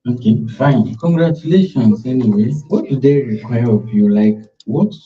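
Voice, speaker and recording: A man's voice: medium pitch, expressive, normal speed, roomy sound, natural voice. A man with a Nigerian accent playing a weary, downcast husband and father in a radio drama. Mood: subdued, hesitant. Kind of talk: amateur radio drama acting. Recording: dull, distant recording.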